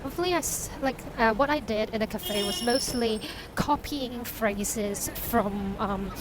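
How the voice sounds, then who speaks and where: High-voiced woman, native Cantonese speaker, casual vlogger, halting and chatty, faint background noise. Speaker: vlogger and novelist. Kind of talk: casual vlog talk.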